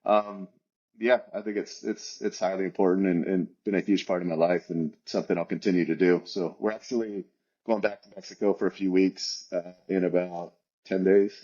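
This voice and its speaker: A man's voice: low-pitched, fairly even intonation, normal speed, darkish tone, slightly rough, lo-fi sound. A man in his forties from the United States, a guest talking casually in an interview, rambling with many fillers and restarts. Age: 40s.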